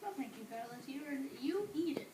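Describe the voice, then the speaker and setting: A woman's voice: sits high, animated, brisk, mellow. A young woman playing a friendly, dreamy man in an amateur readers theater play. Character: friendly, dreamy man who savors bakery smells. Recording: echoey noisy room, distant microphone.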